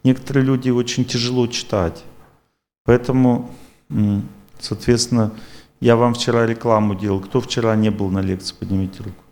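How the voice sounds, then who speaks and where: A man's voice: medium pitch, fairly even intonation, moderate pace, a little mellow. A man lecturing an audience and taking their questions, talking in a rambling, repetitive but self-assured way.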